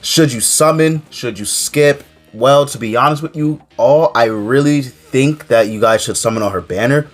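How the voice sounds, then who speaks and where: A man's voice: mid-range voice, moderate pace, few pauses, faint music behind. A young man with a stuffy nose from a cold, chatting casually about a mobile game in a rambling, filler-heavy way. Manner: rambling, filler-heavy, keeps saying "to be honest". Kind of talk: casual game commentary to camera.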